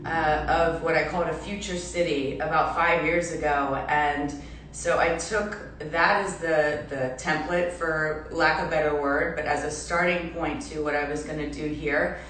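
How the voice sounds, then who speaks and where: A woman from Belgium in her forties chatting casually on a livestream as an artist, with a halting manner and trailing restarts. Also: low-pitched, steady tone, fairly bright tone, a little hiss or hum. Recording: echoey room, distant mic, music behind.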